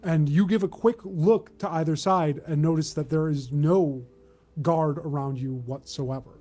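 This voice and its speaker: A man acting as game master, improvising tabletop narration in a measured, descriptive way with mid-sentence pauses. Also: on the high side, mellow.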